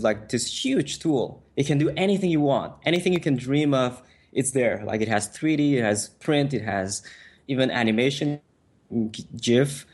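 A man whose first language is French, answering interview questions in a quick, conversational way with frequent restarts. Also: medium pitch. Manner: fast, fluid, with frequent restarts and "like". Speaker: author and designer, interview guest.

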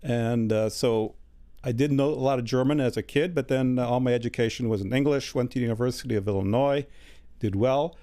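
A man in his sixties, a studio interview guest, answering in a measured way with many hesitant fillers. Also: mid-range voice, warm tone. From United States.

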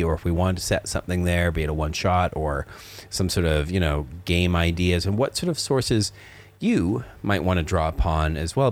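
A middle-aged man co-hosting a father-son podcast, talking in a rambling way with many fillers and restarts. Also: low-pitched. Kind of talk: casual podcast chat.

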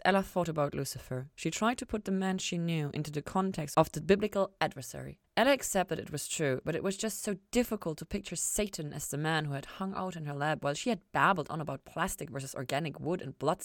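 A woman narrates fiction from a script. Her voice is a little low, and the recording is dry, with very little room sound. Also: quick pace, hardly any pauses, no echo. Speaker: podfic reader and podcast host.

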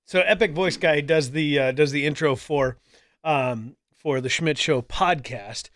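A man's voice: on the high side, measured pace, a bit bright, dry recording, clean studio sound. A male host of a talk radio show and podcast, chatting casually and rambling with lots of fillers and restarts. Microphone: studio microphone.

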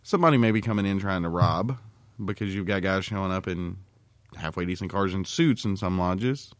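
A man's voice: low-pitched, fairly quick, dry recording. A man co-hosting a podcast, talking casually with blunt, joking remarks. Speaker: podcast co-host. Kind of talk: casual banter and discussion.

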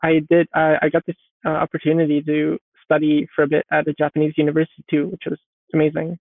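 A woman's voice: low voice, monotone, fast talker. A woman answers interview questions in a thoughtful way, circling back and restarting her sentences as she searches for the right words. Her voice comes through a narrow, phone-like remote line. The sound is dry, with no room echo at all. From Sweden. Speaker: guest, software developer.

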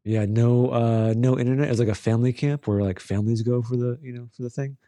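A man co-hosting a podcast over a call, cracking deadpan teasing jokes in casual chat. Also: medium pitch, fast, dry recording. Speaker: podcast co-host.

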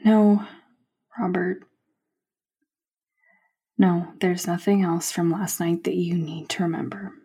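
An anxious, sleep-deprived young woman in a found-footage audio drama, as an actress plays her. She speaks slowly in a breathy, husky half-whisper, and she sounds worn and exasperated. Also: fairly low pitch, fairly even intonation, full character voice. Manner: hushed, exasperated, often whispering. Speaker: Christine, anxious girlfriend.

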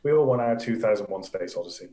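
A man's voice: lowish voice, animated, fast, dark tone, echoey room. A man with an English accent, an astrophysicist guest chatting eagerly in a live Q&A and laughing often. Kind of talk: casual live Q&A chat.

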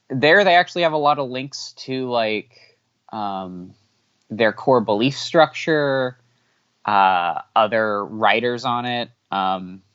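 A man whose first language is not English answering interview questions candidly, halting with many fillers and restarts. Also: medium pitch, a bit bright, dry recording. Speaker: podcast guest. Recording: dull lo-fi audio.

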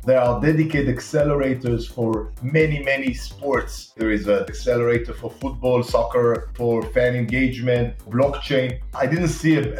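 A man in his fifties with an Israeli accent, a podcast guest speaking earnestly in short phrases with pauses and fillers. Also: mid-range voice, a little mellow. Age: 50s. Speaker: guest, startup founder. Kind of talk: conversational interview.

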